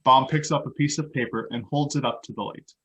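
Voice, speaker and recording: A man reads stage directions as scripted narration. His voice is mid-pitched and noticeably husky, with a breathy rasp. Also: fairly even intonation, a little fast, a bit bright, low-quality recording.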